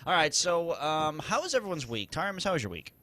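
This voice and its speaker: Lively bright-voiced male podcast host. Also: a little high, fast talker. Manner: lively, laughs often. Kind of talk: casual gaming podcast chat.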